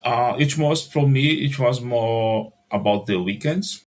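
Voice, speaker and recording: A man's voice: mid-range voice, a little mellow. A Brazilian man with a Brazilian Portuguese accent, answering interview questions in casual conversation, often stopping and restarting his phrases. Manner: halting, restarts phrases, non-native grammar. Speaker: podcast guest, translator.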